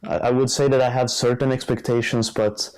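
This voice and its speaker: A man's voice: mid-range voice, fairly quick, smooth. A young man from Sweden, a student, answering interview questions frankly and a little hesitantly. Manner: relaxed, frank, hesitant with fillers.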